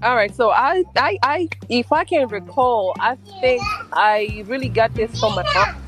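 Tanzanian woman guest on a podcast, chatty, kinda high voice, restarts a lot. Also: lively intonation, unhurried, a bit bright, soft background music. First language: Swahili. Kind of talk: casual podcast interview.

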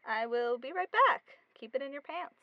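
Woman acting a bossy loyal young woman, high animated voice, muffled noisy sound, audio drama. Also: moderate pace. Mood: teasing.

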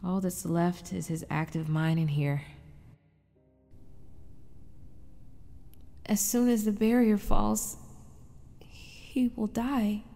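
A woman's voice: mid-range voice, fairly even intonation, slow, creaky, mellow. A woman playing an anguished but determined wife and mother in an audio drama, narrating in a confiding, reflective way. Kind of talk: first-person dramatic narration.

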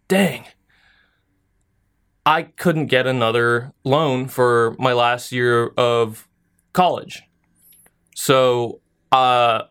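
A man's voice: mid-range voice, fairly bright tone, clear, resonant tone. A young man co-hosting a podcast, telling a story in a loose, joking way and laughing often. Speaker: podcast co-host. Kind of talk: casual podcast chat.

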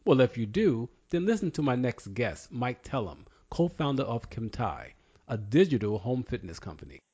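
A man's voice: mid-range voice, very animated, normal speed, mellow, slightly rough. A man hosting a fitness interview podcast who reads scripted introductions and asks friendly questions. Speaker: podcast host. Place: New York. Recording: dull lo-fi audio.

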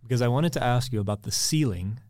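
A relaxed American man in his thirties, speaking at an ordinary middle pitch. He rambles and circles back on his words like a chatty podcast guest. The sound is dry and close, with no room echo. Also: fast. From Texas, USA. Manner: relaxed, rambling, repeats words while thinking. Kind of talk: casual conversation.

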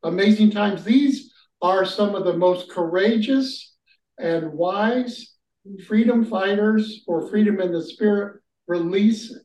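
A man's voice: sits high, slow pace, darkish tone. A man leading a prayer and introducing a guest as host of a ministry gathering, fervent and prayerful. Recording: echoey, muffled, distant mic.

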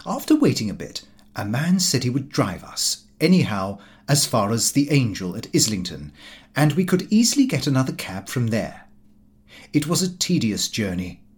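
Animated male audiobook narrator. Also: mid-range voice, moderate pace. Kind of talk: scripted narration of a comic diary novel.

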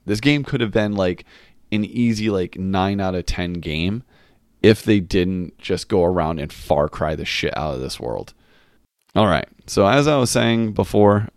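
A man's voice: low voice, darkish tone, dry recording, studio quality. A man hosting a solo game review podcast, talking casually and rambling with lots of filler words and the odd curse. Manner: rambling, frequent "like" fillers, candid cursing. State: recovering from voice loss. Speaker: podcast host.

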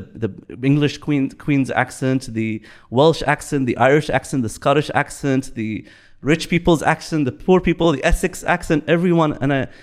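A man in his fifties from Bahrain talks things over in an easygoing podcast chat. His pitch sits in an ordinary middle range. He thinks aloud, doubling back on words and laughing easily as he goes. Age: 50s. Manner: reflective, repeats and restarts words, laughs easily.

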